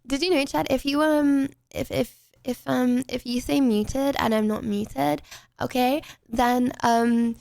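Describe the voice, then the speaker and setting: A young British woman with a high, smooth, clear voice chats playfully through a live game, bursting into excited exclamations. She sits close to a desk microphone, and the sound is dry and close. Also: slow pace, dry recording. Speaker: streamer. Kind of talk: casual gameplay commentary to chat.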